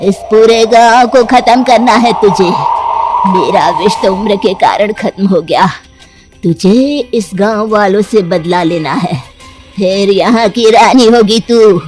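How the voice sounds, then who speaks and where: Woman voicing a slow, commanding old serpent queen. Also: mid-range voice, music in the background, natural voice. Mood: scheming, commanding. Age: older. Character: vengeful ageing serpent queen mother. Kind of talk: dramatised moral story.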